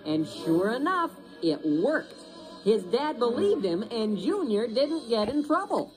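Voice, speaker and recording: Female storyteller for kids, fairly high and animated, suspenseful delivery, clear music bed. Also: slow, rough recording. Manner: expressive, suspenseful. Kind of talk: dramatic children's story narration.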